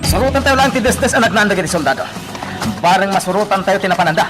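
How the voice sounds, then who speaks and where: An actor with a Filipino Ilocano accent playing a commanding, exasperated leader of armed rebels in a radio drama, scolding and urgent. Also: male voice, sits high, expressive, quick pace, over loud music. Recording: noisy outdoor and vehicle ambience. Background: vehicle and outdoor noise.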